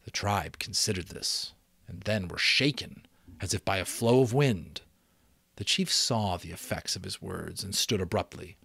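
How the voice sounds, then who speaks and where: A man reading an audiobook as a dramatic, expressive storyteller. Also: low voice, measured pace, creaky, husky, no echo. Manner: expressive, theatrical. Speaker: audiobook reader.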